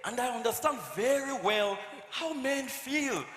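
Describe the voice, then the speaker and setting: A man's voice: very high voice, measured pace, a bit bright. An actor on stage playing a meek but cheeky male servant, stammering apologies and protests. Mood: earnest, cheeky. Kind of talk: stage play acting. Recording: echoey noisy hall stage recording.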